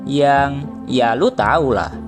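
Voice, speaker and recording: A man's voice: mid-range voice, very slow. A young man with an Indonesian accent giving casual, slangy comedic commentary, joking and swearing freely. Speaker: video commentator. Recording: music behind the voice.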